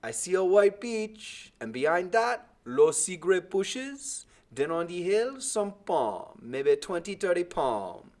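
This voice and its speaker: Man putting on a loud, stubborn old islander. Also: sits high, very animated, takes it slowly, few pauses, pitched above the performer's own voice, heavily acted. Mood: steady, insistent. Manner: emphatic dialect speech, loud and drawn out.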